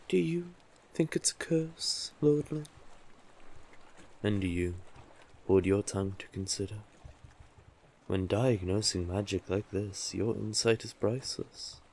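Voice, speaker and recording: A man's voice: on the low side, darkish tone, slight background noise. A man voicing a cunning male sea witch who coaxes and teases the listener with condescending endearments in a scripted roleplay audio drama. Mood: coy, probing. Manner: slow, teasing, condescending endearments, deliberate pauses. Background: ambient sound effects.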